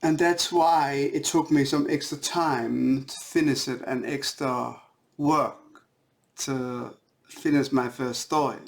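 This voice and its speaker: A man from Denmark, a native Danish speaker with a Danish accent, talks as an author in a halting way, searching for words and repeating phrases. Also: mid-range voice, fairly even intonation, creaky. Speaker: indie author. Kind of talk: talking to camera about own writing.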